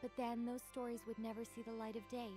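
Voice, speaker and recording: A woman's voice: on the high side, little pitch movement, fast, music in the background, natural voice. A woman voicing a composed, witty woman author in a video game, speaking articulately with a conversational, lightly teasing manner. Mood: wry, reflective. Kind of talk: scripted game dialogue.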